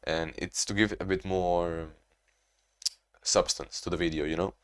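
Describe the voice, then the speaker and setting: A young man talking casually in a video diary, rambling and restarting, in a monotone way. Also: sits low, a little slow, smooth. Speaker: vlogger.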